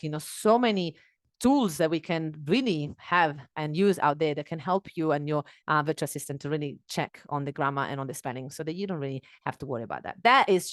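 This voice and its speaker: A woman in her thirties hosting a solo podcast on a headset mic in a small home office. She speaks English as a second language, talking in an earnest, animated way with many fillers and restarts. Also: fairly low pitch, dry recording, clean studio sound. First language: not English. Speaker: podcast host.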